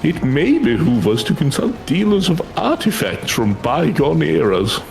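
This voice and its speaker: A man's voice: fairly high pitch, big pitch swings, a little slow, vocal fry, a little mellow, soft background music, exaggerated voice, poor audio. Man putting on a swooping, grandiose fantasy scholar. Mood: excited, grandiose. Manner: formal, theatrical, archaic phrasing.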